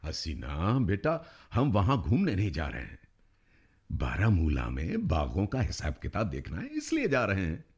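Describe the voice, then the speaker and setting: An actor playing a wealthy family patriarch in a radio play, blustery yet easily cowed, with a husky voice. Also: male voice, sits low, huge pitch swings, measured pace, dull audio, full character voice. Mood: gently refusing.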